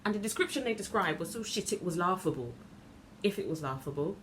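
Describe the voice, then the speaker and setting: A woman performing a dramatic monologue as a bitter, wronged young woman recounting events in a deliberate, halting way with emphatic repetition. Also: on the low side, lively intonation, close to an everyday voice, rough recording. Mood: bitter, hurt. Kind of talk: dramatic stage monologue, self-taped. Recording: noticeable background noise.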